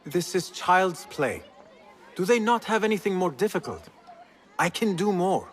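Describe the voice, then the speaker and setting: A man's voice: high-pitched, sing-song, a little slow, a faint crowd. A man playing a confident, teasing young street thief in a video game. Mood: cocky. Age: young adult. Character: cocky, playful, warm-hearted young male street thief. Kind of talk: dramatic video game acting.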